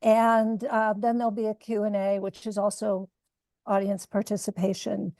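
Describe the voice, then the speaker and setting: A woman hosting an online event, speaking informally in short phrases with hesitant pauses as she introduces someone. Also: mid-range voice, little pitch movement, warm tone, dry recording. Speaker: host, author introducing readers. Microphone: video call.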